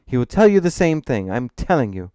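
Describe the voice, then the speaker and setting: A man's voice: medium pitch, brisk, darkish tone, smooth, no room sound, studio quality, voice pushed up, close to an everyday voice. A man voicing an earnest, authoritative accountant in an audiobook, speaking in a firm, assertive way. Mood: annoyed. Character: earnest male accountant, authoritative, confident, easily annoyed.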